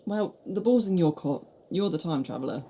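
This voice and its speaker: Sceptical sidekick , acted by a woman. Dark, husky tone, teasing and questioning in sci-fi audio drama. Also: on the low side, expressive, moderate pace. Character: wry, sceptical female travelling companion.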